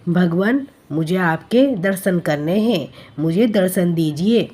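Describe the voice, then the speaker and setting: Woman as an unhurried, earnest young woodcutter. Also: fairly low pitch, animated, mellow, husky, exaggerated voice. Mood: eager. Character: eager, naive young male woodcutter bird, devout. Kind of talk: Hindi cartoon story dubbing.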